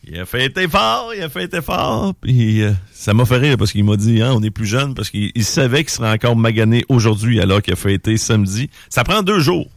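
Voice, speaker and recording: A man's voice: lowish voice, expressive, brisk, few pauses, dry recording, studio quality. A man in his forties with a Québécois French accent, hosting a show in a colloquial, teasing, chatty way. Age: 40s. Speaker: radio show host. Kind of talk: casual chat with co-hosts.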